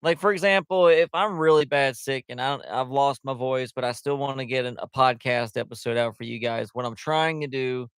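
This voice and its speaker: Smooth-voiced man chatting on a livestream. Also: mid-range voice, fairly even intonation, brisk, few pauses, a bit bright, no echo. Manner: rambling, loose and chatty, many fillers. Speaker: livestream host. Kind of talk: casual livestream chat. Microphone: headset.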